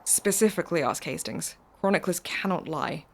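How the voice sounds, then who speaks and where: An actress in an audio drama playing a mysterious woman driver who is calm, insistent and reassuring. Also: low voice, moderate pace, creaky, heavily acted. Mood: cool, assured.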